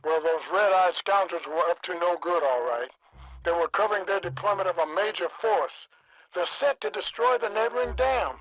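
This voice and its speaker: A man's voice: high-pitched, moderate pace, a bit bright, rough recording. An actor playing a wordy, fatherly resistance leader in a video game, with florid, folksy old-fashioned phrasing. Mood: grave, commanding. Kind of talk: video game cutscene acting.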